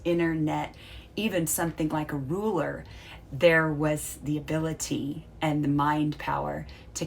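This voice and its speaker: A woman teacher reading a storybook aloud and explaining it to children in a deliberate, instructive way with drawn-out emphasis. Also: sits low, gravelly. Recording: faint background noise.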